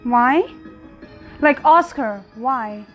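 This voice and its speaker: Woman reacting aloud, voice on the high side. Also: lively intonation, extremely slow, soft background music. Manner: talks back to characters on screen; thinks aloud about the plot. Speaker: reaction video host. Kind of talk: casual reaction commentary.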